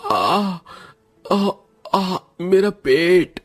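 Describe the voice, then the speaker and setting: Man acting out a whiny groaning young man, strained high voice. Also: very animated, slightly rough, soft background music, exaggerated voice. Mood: pained, whining.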